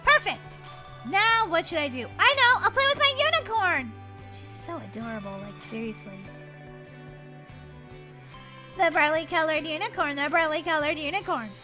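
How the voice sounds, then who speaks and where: A woman's voice: on the high side, big pitch swings, normal speed, music in the background. A woman voicing a playful, whiny, sassy young girl in an exaggerated childlike manner, role-playing over a video game. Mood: gleeful. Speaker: gaming channel host.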